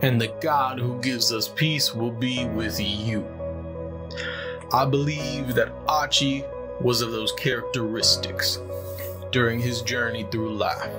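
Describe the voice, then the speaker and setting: Solemn pastor for audio drama funeral, male actor: slow, reverent delivery, mid pitch, music underneath. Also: steady tone, close to an everyday voice. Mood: reverent, consoling. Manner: measured, reverent, deliberate. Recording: music behind the voice.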